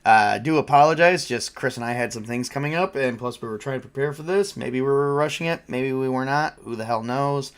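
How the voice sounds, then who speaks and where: A man's voice: mid-range voice, brisk, few pauses, clean tone. A man with a mid-range voice hosts a gaming stream. He talks casually and rambles along in an informal, slightly crude manner, like a game master chatting to viewers. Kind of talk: casual livestream chat.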